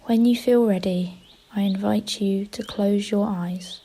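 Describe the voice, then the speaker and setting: A woman leading a guided meditation in a gentle, unhurried way, pausing between short instructions. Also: medium pitch, steady tone, slight background noise. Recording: faint outdoor ambience with birdsong. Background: birdsong.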